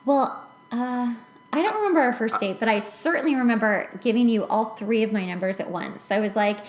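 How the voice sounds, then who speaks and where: A woman's voice: fairly high pitch, brisk, reverberant. An American woman in her late twenties tells personal stories casually, in a chatty, self-deprecating way, as a podcast host. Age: late 20s. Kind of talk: casual personal storytelling in conversation.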